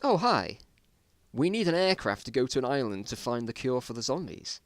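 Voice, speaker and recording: A man voicing a brash, excitable zombie-apocalypse survivor in an amateur story reading, blunt and exclamatory. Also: mid-range voice, moderate pace, no room sound, studio quality, close to an everyday voice. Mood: excited.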